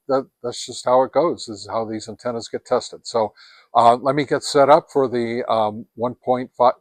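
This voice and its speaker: A man with a middle-pitched voice gives an informal product review. His delivery is halting and hesitant, feeling its way through each point. The sound is dry, with very little room around it. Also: no room sound. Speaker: amateur radio product reviewer and host.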